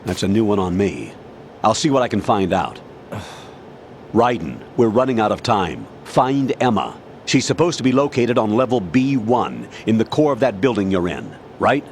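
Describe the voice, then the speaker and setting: A man playing an older colonel who commands missions in a video game, formal, measured and authoritative. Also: on the low side, sing-song, darkish tone, slight background noise. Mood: urgent, commanding. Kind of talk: dramatic video game voice acting.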